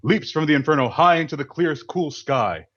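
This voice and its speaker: A man's voice: on the high side, lively intonation, brisk, fairly bright tone. A man reading scripted narration for an old-time radio drama over an internet call. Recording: dull lo-fi internet call audio.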